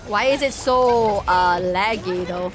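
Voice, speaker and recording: A woman giving casual live commentary on a video game as a streamer, excitable and giggly, often repeating phrases rapidly. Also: medium pitch, music in the background.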